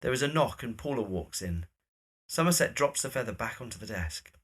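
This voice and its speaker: A man's voice: medium pitch, animated, brisk, a bit bright, husky. A man reading scripted stage directions as the narrator of an audio drama.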